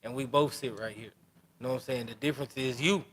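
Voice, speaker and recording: This is a podcast host in his twenties, speaking in a relaxed and open way. His voice sits at an average pitch. He talks in loose street slang and keeps checking in with his guest. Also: fast, husky. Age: 20s. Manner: loose street talk, keeps asking "you know what I'm saying". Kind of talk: casual interview.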